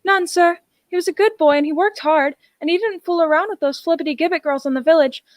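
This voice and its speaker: A woman's voice: very high voice, fast talker, no echo, studio quality. A woman playing an anxious, humble village mother in a radio drama, plain-spoken, deferential and pleading.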